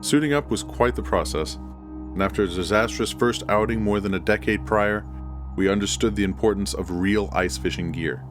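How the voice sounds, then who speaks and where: Low-voiced man narrating over music. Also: moderate pace. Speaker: horror fiction narrator. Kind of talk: scripted first-person audiobook-style story narration. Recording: music bed under the voice. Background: background music.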